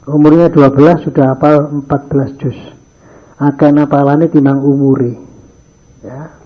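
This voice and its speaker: Older preacher, lo-fi tape. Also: male voice, on the high side, steady tone, measured pace. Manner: mixes in Javanese phrases, acts out dialogues in his stories. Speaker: Islamic teacher of boarding school students. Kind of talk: morning religious lesson. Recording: dull lo-fi archive recording.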